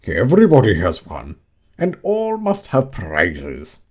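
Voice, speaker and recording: Guy doing a stuffy solemn dodo bird from a storybook, slooow and deliberate, kinda low, very ceremonious. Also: huge pitch swings, darkish tone, full character voice. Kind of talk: animated storybook character reading.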